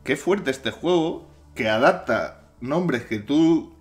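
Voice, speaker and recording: A man's voice: medium pitch, lively intonation, takes it slowly, faint music behind. Man, game host, joking, casual chat, laughs a lot. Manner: joking, chatty, laughs often. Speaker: gameplay host.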